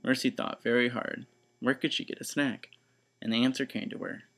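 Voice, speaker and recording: Gravelly male storybook narrator. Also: mid-range voice, fairly even intonation, moderate pace, fairly bright tone. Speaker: read-aloud storyteller. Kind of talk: expressive scripted children's story narration.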